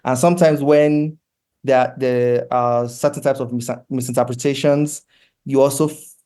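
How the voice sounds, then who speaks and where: A Nigerian man speaks earnestly and in a measured way as an interview guest. His voice is soft-edged and mellow, middle in pitch, and stays on a very level line. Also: steady tone. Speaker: comic book creator, interview guest. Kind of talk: conversational interview answers.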